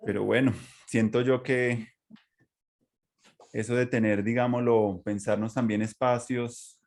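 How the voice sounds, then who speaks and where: A man's voice: medium pitch, little pitch movement, a little slow, darkish tone. A man with a Colombian accent, hosting a podcast in a reflective, conversational interview style. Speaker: podcast host.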